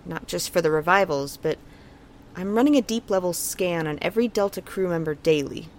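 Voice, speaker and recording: A woman's voice: on the low side, animated, fairly quick, a little mellow, a little hiss or hum, natural voice. A woman playing a dutiful, vigilant moonbase commander in audio drama dialogue, firm and businesslike. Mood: firm, concerned.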